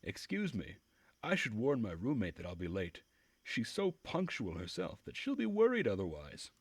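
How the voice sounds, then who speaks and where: A man's voice: on the low side, wildly swooping pitch, moderate pace, husky, no room sound, pitched above the performer's own voice, heavily acted. A man voicing a friendly, polite young woman who works as a camp leader's aide in a visual novel. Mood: friendly, helpful. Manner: polite, caring, chatty.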